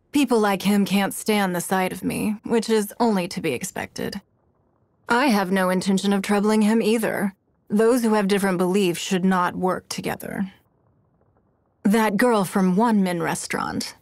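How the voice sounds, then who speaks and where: A woman voicing a poised, calculating, wealthy noblewoman in a fantasy video game, speaking in a measured, formal and haughty manner. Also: mid-range voice, dry recording, close to an everyday voice. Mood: cool, calculating. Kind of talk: video game character voice lines.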